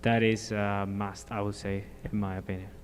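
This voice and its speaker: A man from Colombia speaking as a panelist at a conference, explaining his work plainly with some halting fillers. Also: on the low side, level tone. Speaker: panelist, applied scientist. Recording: hall reverb with faint ambient noise.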